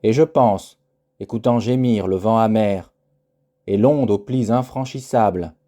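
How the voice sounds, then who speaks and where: A man reciting literary poetry in a solemn, measured manner with deliberate pauses. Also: on the low side, mellow. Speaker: poetry reader.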